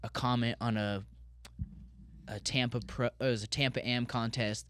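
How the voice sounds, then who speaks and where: A man's voice: medium pitch, steady tone, normal speed, fairly bright tone, dry recording, studio quality. A man in his thirties from Colorado, a pro skateboarder talking as a podcast guest, candid and rambling with lots of restarts. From Colorado, USA. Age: 30s. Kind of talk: casual podcast interview.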